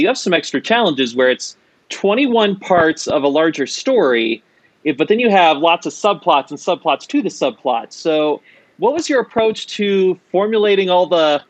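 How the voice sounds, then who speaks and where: A man's voice: fairly high pitch, no room sound. A man hosting an interview, curious and chatty as he sets up a question for his guest. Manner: curious, chatty, frequent listening murmurs. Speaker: interview host.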